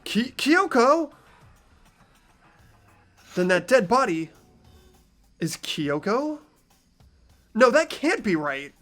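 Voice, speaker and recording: A man voices an anxious teenage schoolboy hero from a visual novel game. The voice is high and very animated, hesitant and earnestly questioning, with faint music behind. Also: extremely slow, pauses often, full character voice. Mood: shocked disbelief.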